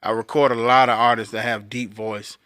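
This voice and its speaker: A man with a bright, forceful voice and a clear, resonant tone talks casually as a podcast co-host. He explains things like a working engineer, straight to the listener, in a close, dry recording. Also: little pitch movement, moderate pace, dry recording. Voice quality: deep voice. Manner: conversational explainer, addressing listeners directly. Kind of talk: casual instructional talk.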